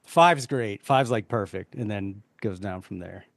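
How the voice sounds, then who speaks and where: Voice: deep voice, measured pace, vocal fry. A podcast host chatting casually, explaining a rating scale loosely and repeating themselves in an easygoing way. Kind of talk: casual chat.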